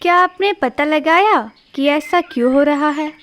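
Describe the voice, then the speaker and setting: Woman voicing a young female cartoon bird, kind, polite, high voice, slow. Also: fairly bright tone. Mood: puzzled. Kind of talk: cartoon voice acting.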